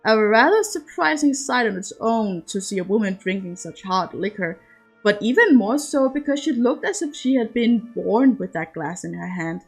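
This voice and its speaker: A woman's voice: mid-range voice, lively intonation, moderate pace, creaky, slightly rough, soft background music, low-quality recording. A woman narrating a piece of fiction as an amateur audiobook-style reader. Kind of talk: scripted story narration.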